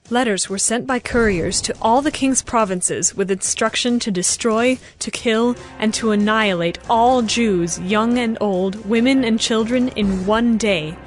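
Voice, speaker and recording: A woman narrator reading scripted Bible narration in a measured, solemn storytelling manner. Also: medium pitch.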